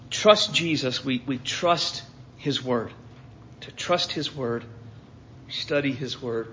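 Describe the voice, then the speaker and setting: A man's voice: fairly high pitch, a bit bright, lo-fi sound, a little hiss or hum. An American man preaching a sermon in a conversational way, repeating phrases for emphasis. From United States.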